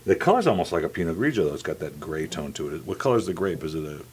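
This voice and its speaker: Man, 50s, very low voice, wine show host, chatty, restarts sentences, lapel mic, faint background hiss. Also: sing-song, rapid-fire. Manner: chatty, talks to viewers as folks, trails off and restarts. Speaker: wine club owner and host. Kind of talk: casual studio interview. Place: wine shop studio set, indoors.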